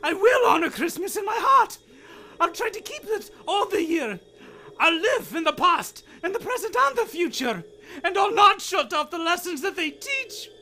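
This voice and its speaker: An actor playing an old male miser in an old-time radio drama, theatrical and emphatic, with dramatic pauses. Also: very high voice, sing-song, sharp tone, exaggerated voice. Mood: desperate pleading.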